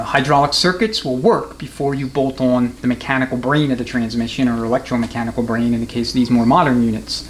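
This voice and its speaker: Male mechanic-instructor, medium pitch, matter-of-fact and off the cuff, recorded in a workshop with noticeable noise. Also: poor audio. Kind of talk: unscripted hands-on technical demonstration. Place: transmission workshop.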